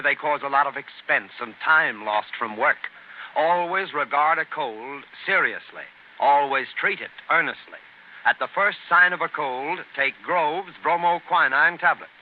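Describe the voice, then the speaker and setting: A man working as a radio announcer, reading a 1930s sponsor advertisement in an earnest, emphatic, deliberately phrased manner. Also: fairly high pitch. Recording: scratchy, tinny 1930s radio recording.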